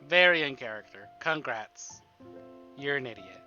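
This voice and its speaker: A man's voice: mid-range voice, animated, moderate pace, bright, forceful tone, muffled recording. A man livestreaming a visual novel, reading the narration expressively and breaking into theatrical, exasperated asides. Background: game music.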